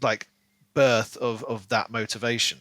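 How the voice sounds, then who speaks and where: Guy on a book podcast, bright voice, rambly casual talk, really dry sound. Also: medium pitch, level tone, a little slow, no room sound. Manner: rambling, talks in long runs with many "you know"s. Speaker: podcast co-host.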